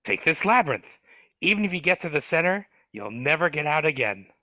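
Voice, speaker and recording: Grumpy dwarf played by a man, gruff, cagey, lively pitch. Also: fairly quick, dry recording, exaggerated voice. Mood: grumbling. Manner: grumbling, cagey, rough working-class speech. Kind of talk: live dramatic reading over video call. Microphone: video call.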